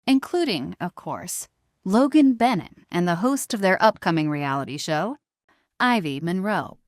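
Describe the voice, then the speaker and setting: A woman's voice: lowish voice, very animated, unhurried, dry recording, studio quality. A woman narrating a scripted drama story in a dramatic style.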